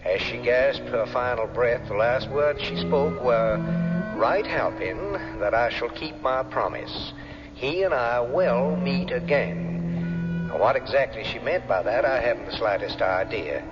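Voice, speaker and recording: A man's voice: medium pitch, lively intonation, a little slow, lightly acted. A man as an old-fashioned formal father. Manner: formal, mannered letter-reading. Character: older Southern father writing a letter. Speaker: father reading his letter. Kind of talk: 1970s radio drama acting. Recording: dull old radio broadcast with reverb and music.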